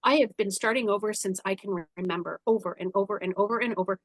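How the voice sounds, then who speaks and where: A woman in her forties telling personal stories candidly in conversation, animated and blunt, often acting out her own inner dialogue. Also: mid-range voice, quick pace, dry recording. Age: 40s. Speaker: guest, spiritual guide.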